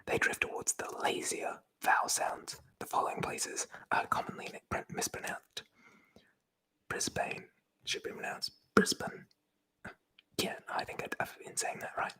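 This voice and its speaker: Someone whispering in a breathy voice, reading aloud from a book in an ASMR style with chatty, informal commentary. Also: normal speed, hardly any pauses, sharp tone, rough recording. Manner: chatty, informal, swears casually. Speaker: presenter reading aloud from a tabletop RPG book.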